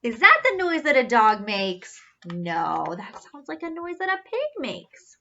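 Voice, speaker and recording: A woman reads a picture book to children with a high, very lively voice. She goes slowly, asks questions theatrically and marvels at the story. The recording is slightly dull. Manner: theatrical, questioning, gasping with wonder. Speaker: story reader, children's teacher-like host. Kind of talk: animated children's storybook read-aloud.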